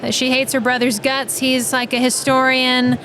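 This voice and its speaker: A woman's voice: little pitch movement, normal speed, sharp tone. A woman chatting casually as a podcast guest, with a teasing, joking manner. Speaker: podcast guest, creator of a fantasy fiction podcast. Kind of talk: casual chat with playful banter. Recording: noisy event floor, background rumble.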